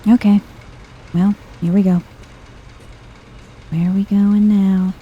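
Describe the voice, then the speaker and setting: A woman giving soft-spoken, chill ASMR-style commentary as she plays a video game, murmuring as if to herself. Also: medium pitch, level tone, takes it slowly, slightly rough, highs cut off. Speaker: video game streamer, let's player.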